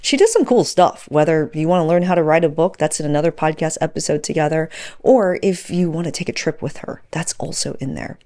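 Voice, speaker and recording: A woman with a fairly low voice hosts a podcast. She talks conversationally, introducing topics and putting questions to a guest as an interviewer. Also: fairly quick, mellow.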